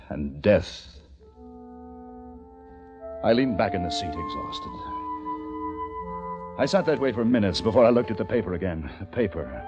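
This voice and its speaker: A man acting as a desperate, weary plague survivor, narrating an old-time radio drama in an American accent with theatrical, clipped phrasing. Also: on the low side. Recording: vintage radio recording, dull sound, orchestral score. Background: dramatic orchestral music with brass.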